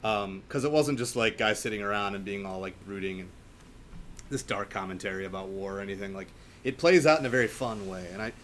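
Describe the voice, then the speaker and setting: A man's voice: lowish voice, a little fast, a bit bright. A man hosting a podcast, talking about film in a casual, effusive, rambling and informal way. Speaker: podcast host. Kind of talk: casual film discussion chat. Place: home, remote recording. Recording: clearly audible background noise.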